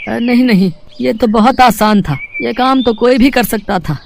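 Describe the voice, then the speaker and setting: A man voicing a humble, earnest poor village husband in an animated moral story, speaking a little hesitantly. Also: very high voice, moderate pace, vocal fry, slight background noise. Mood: eager.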